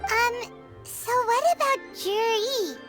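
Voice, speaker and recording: Squeaky, very high childlike fairy companion. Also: female voice, slow pace, heavily acted. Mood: puzzled. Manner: excitable, inquisitive. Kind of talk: video game voice acting. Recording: music behind the voice.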